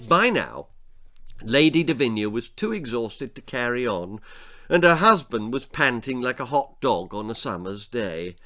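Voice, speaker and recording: A man's voice: mid-range voice, big pitch swings, unhurried, sharp tone. An English man reading his own comic children's story aloud as a dramatic narrator who relishes each detail.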